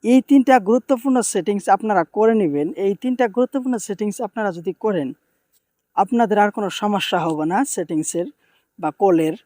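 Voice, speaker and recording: A man's voice: high voice, moderate pace, dark tone, dry recording. A man narrating a step-by-step phone tutorial in an instructional way, repeating phrases for emphasis. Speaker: tech tutorial host.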